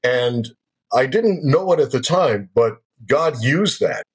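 A man's voice: mid-range voice, animated, takes it slowly, breathy edge. An older man, a former radio broadcaster, telling stories from his life as an interview guest in a chatty, folksy way. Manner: chatty, folksy, checks in with "right?". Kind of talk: conversational storytelling. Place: local to the show's town.

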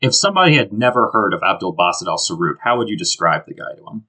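An enthusiastic male podcast host chats informally with his guest. His voice is low, yet it has a bright, forceful ring. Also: very animated, fast talker, rough recording. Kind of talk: casual podcast chat.

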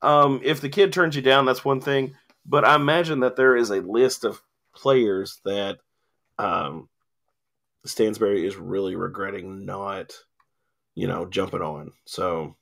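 Gravelly man rambling through sports scores. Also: fairly low pitch, fairly even intonation, measured pace, a bit bright. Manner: rambling, lots of ums and filler. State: losing his voice, coughing. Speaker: sports talk host. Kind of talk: casual sports radio chat. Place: radio studio. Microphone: studio mic with cough button.

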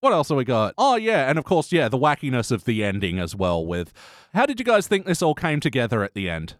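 A man's voice: mid-range voice, huge pitch swings, a little fast, dry recording. Irreverent man hosting, very dry close sound. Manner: irreverent, joking, sweary. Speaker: podcast host. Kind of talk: casual comedic chat reviewing a cartoon episode.

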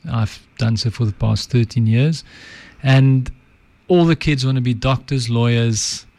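A middle-aged South African man with an Afrikaans accent, a podcast guest talking calmly and reflectively about his life. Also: mid-range voice, a little slow, vocal fry. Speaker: guest, advertising entrepreneur and author. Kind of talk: reflective interview talk.

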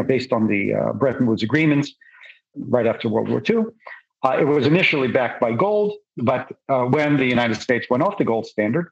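Russian-accented older man, husky voice. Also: medium pitch, dull audio. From Soviet Union. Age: 60s. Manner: measured, explanatory, with frequent fillers and restarts. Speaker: guest, gold investor. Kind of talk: podcast interview.

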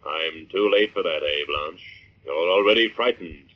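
An actor playing an unseen, sinister avenger who taunts and questions in old-time radio drama style. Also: male voice, sits low. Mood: menacing, pressing. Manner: taunting, menacing, deliberate questioning. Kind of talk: 1940s radio drama acting. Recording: muffled vintage radio recording.

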